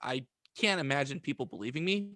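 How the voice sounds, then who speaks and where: A man's voice: medium pitch, animated, fairly quick, bright, forceful tone, dry recording, clean studio sound. A young adult man in casual podcast chat who rambles and stumbles over his words, putting himself down as he goes. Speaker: podcast co-host.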